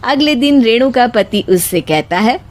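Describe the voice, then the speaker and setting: A woman narrates a comic moral story as a storyteller. Her voice sits high. She reads the script slowly. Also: lively intonation, a little mellow.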